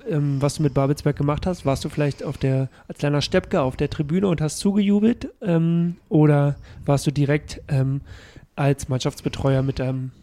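A man's voice: on the high side, steady tone, normal speed, dark tone. A man hosting a club news podcast, upbeat and chatty, thanking guests and reading notices. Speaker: podcast host.

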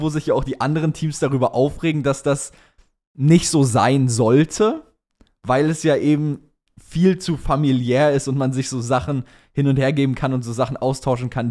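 A man's voice: medium pitch, moderate pace, a little mellow. A young man co-hosting a podcast in casual chat, using slangy talk peppered with English words. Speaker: podcast co-host.

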